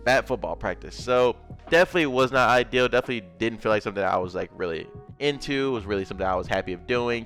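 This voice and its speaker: A man's voice: on the low side, a bit bright. A young man from Memphis with a Southern accent, casually telling his life story while drawing, rambling and adding little sound effects. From Memphis, Tennessee. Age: young adult. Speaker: gaming content creator.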